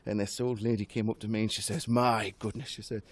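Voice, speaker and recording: A man's voice: on the low side, level tone, fairly quick, no room sound. A man chatting as a radio interview guest, jovial and anecdotal, with genteel, jokey, old-fashioned turns of phrase.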